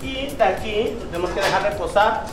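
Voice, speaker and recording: Casting: man, thirties, Guerrero coast Mexican accent, high voice, restaurant cook showing his craft casually, recorded at a distance over music. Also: moderate pace. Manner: repetitive, punctuated with "eh?" tags and rhetorical questions. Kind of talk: casual cooking demonstration and promotion. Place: open-air beach restaurant and its kitchen. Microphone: camera a few steps away. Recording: roomy, distant camera mic, music underneath.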